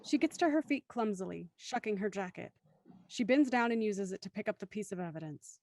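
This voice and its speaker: Woman narrator for an audio drama, medium pitch, reads stage directions, super dry studio-type sound. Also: animated, a little fast, darkish tone, no room sound. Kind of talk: scripted narration of an audio drama, present tense.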